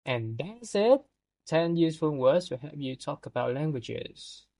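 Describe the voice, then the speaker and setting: A man's voice: fairly high pitch, lively intonation, measured pace, dark tone. A man co-hosting a scripted vocabulary lesson, reading out definitions with small stumbles. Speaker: podcast co-host.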